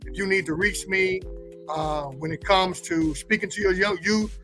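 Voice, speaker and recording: A man's voice: high-pitched, normal speed. A male pastor talking casually about faith in an informal, colloquial, preacherly manner. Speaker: pastor, guest co-host. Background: music.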